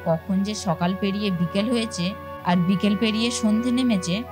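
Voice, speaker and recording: A woman's voice: medium pitch, moderate pace. A woman narrating a cartoon story in a scripted storytelling style. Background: faint music.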